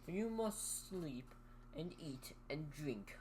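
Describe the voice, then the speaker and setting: A woman voicing a thoughtful male scarecrow in a low, hushed, breathy tone. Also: animated, slow, a little hiss or hum. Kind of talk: storybook reading.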